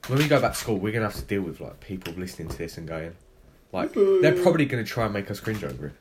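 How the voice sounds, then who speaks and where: Teen guy on a podcast with his mates, talks quick, swears a lot, voice jumps around, bit of hiss. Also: on the low side. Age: teens. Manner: swears freely, animated, laughs often. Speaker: podcast co-host. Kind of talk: casual chat between friends.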